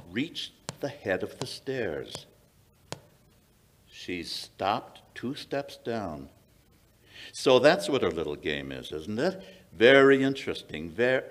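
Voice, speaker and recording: A man's voice: on the low side, slight background noise. An older man playing a commanding, self-assured detective in an old-time radio drama, authoritative and explanatory. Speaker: amateur radio theatre actor. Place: small indoor museum stage. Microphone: standing vintage-style stage microphone.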